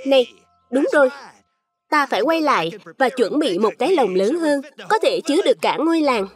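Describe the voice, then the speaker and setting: Woman voicing a high-pitched, boastful cartoon villain. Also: very animated, brisk, soft background music. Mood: commanding. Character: scheming villainous man hunting fruit creatures for jam. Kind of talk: cartoon dub.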